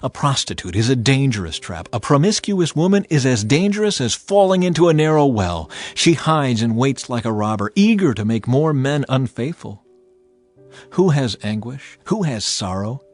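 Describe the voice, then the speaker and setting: Expressive man reading scripture over music. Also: medium pitch, moderate pace. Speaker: scripture narrator. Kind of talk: dramatized audio Bible reading. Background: background music.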